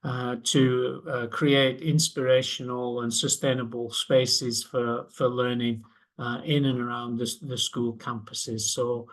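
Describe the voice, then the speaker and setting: A man opens an online webinar, halting and full of fillers and restarts. His voice sits at an ordinary middle pitch, neither high nor low. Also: flat delivery, a bit bright. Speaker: webinar host.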